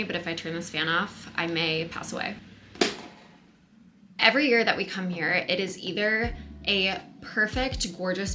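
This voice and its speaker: A young American woman talking candidly to camera in a casual vlog from a rented house. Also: medium pitch. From United States. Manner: chatty, candid, quick asides. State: hoarse, losing her voice. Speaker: vlogger.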